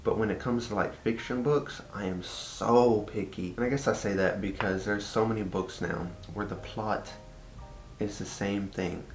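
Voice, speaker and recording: A man's voice: steady tone, normal speed, soft background music. A young man from Texas with a Texan Southern accent, chatting casually in a personal vlog and filling his talk with "like" and repeats. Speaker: vlogger. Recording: echoey room with faint rumble.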